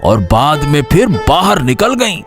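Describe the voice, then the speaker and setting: Cartoon busybody: suspicious, insinuating know-it-all, high gravelly voice that swoops about. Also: male voice, big pitch swings, a little slow, over music, exaggerated voice. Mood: smug, warning. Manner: prying, insinuating. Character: nosy, know-it-all gossiping household man, suspicious. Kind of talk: Hindi cartoon story acting.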